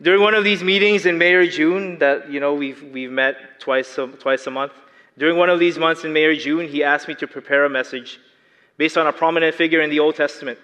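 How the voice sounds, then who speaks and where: A young man with a Filipino accent and a slight lisp preaches to a large congregation, his voice bright and forceful. His pitch sits a little high for a man, and a long reverb trails each phrase through the church hall. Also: fairly even intonation, fairly quick. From Philippines. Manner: earnest, asks the congregation questions. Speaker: lay preacher. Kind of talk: sermon.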